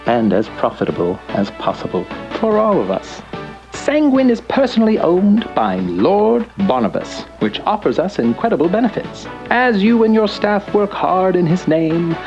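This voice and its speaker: A man's voice: sits high, a little mellow, highs cut off, exaggerated voice. An actor playing a slick, upbeat chief executive who narrates a corporate training tape in a showy salesman's manner. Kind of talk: scripted corporate promotional narration. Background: music.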